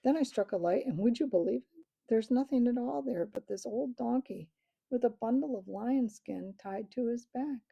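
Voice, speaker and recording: Woman doing a plucky schoolgirl, eager and pleading, husky dark voice. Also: on the high side, moderate pace, dull audio, pitched above the performer's own voice. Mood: excited. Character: brave, earnest English schoolgirl.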